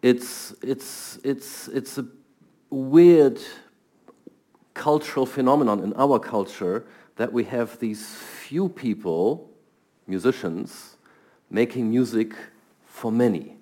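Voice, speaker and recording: A man's voice: medium pitch, takes it slowly, warm tone. A middle-aged man from Germany with a German accent, a scientist answering interview questions thoughtfully and often repeating words as he starts. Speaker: guest scientist.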